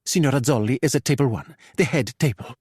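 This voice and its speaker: A man's voice: mid-range voice, quick pace, a little mellow, slightly rough, no room sound, studio quality, voice pushed up, natural voice. A man voicing a polite, smiling restaurant hostess in an audiobook. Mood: welcoming.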